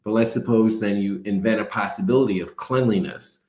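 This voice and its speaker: An older man, a spiritual teacher, talking calmly and reflectively, explaining ideas with everyday examples. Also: fairly low pitch, brisk. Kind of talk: reflective talk. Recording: roomy echo.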